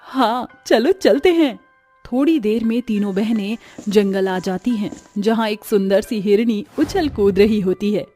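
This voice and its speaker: A woman's voice: medium pitch, normal speed, few pauses, mellow, faint music behind. A woman narrating a scripted cartoon story in a dramatic storytelling style.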